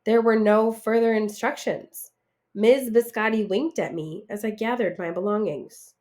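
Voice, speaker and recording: A woman reading a picture book aloud in an animated, expressive, dramatic way for children. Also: medium pitch, a little slow. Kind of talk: animated storybook read-aloud.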